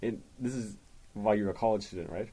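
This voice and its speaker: Guy hosting a podcast, low warm voice, easygoing chatter. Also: fast. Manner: relaxed and chatty, reads listener mail aloud. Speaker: podcast host. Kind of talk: casual podcast chat.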